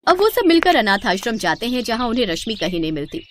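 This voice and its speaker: A woman's voice: on the high side, very animated, fast talker, a little hiss or hum. A woman narrating a scripted moral story as the storyteller.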